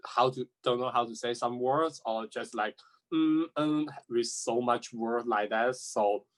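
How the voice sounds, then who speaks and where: Slow, halting man with a Chinese accent. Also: mid-range voice. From China. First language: Cantonese. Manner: halting, many fillers and restarts. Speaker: student intern. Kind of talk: panel interview answer.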